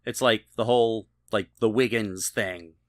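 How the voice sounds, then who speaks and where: A man's voice: on the low side, fairly even intonation, unhurried, no echo, studio quality. A man in his fifties chatting casually as a podcast co-host, explaining things like a keen trivia fan. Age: 50s. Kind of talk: casual panel discussion of a TV show.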